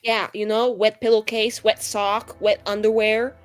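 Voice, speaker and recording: A woman's voice: medium pitch, level tone, unhurried, close to an everyday voice. A woman voicing a brash, sly young schemer in tabletop roleplay, rambling in slang and cajoling with mock sincerity. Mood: teasing, cajoling. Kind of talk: improvised tabletop roleplay dialogue. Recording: music behind the voice.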